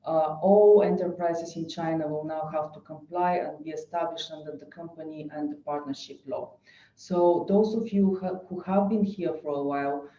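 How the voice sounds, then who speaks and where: Dark-voiced Bulgarian-accented presenter. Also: medium pitch, fairly even intonation, a little hiss or hum. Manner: halting, often searching for words. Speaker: foreign investment advisor. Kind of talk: webinar presentation. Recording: dull echoey room recording.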